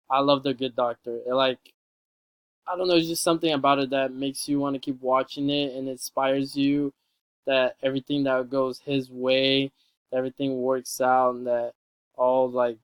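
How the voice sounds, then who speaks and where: A young man introduces himself in a loose, rambling way, full of fillers and restarts. His voice is smooth and clear, with very little rise and fall in pitch. The recording is dry and close, with no room sound. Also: no echo. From San Francisco Peninsula, California. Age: young adult. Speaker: college student. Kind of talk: casual self-introduction video.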